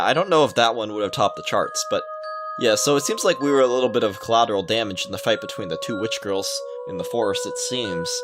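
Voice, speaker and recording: Man, low voice, visual novel read-aloud, playful commentary, game music behind. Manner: playful, jokey asides. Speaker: video game let's player. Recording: music under the voice.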